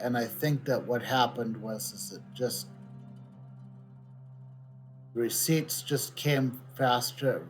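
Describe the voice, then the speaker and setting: A male streamer comments casually on the game he is playing. His delivery is very slow, pensive and halting as he reasons aloud. Faint game music sits behind him. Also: mid-range voice. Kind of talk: casual live playthrough commentary.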